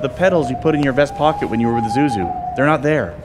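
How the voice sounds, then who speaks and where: An actor playing a quaint, innocent, very old guardian angel with a gentle, flustered manner in a radio play. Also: male voice, mid-range voice, lively intonation, fast, heavy background noise, lightly acted. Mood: earnest, knowing. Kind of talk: old-time radio drama acting. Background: music.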